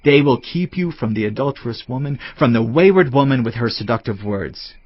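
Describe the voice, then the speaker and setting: A man's voice: mid-range voice, expressive, fairly quick, slightly rough. A man giving a dramatised Bible narration as a solemn, admonishing fatherly teacher. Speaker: scripture narrator. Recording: slightly muffled, music bed behind. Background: background music.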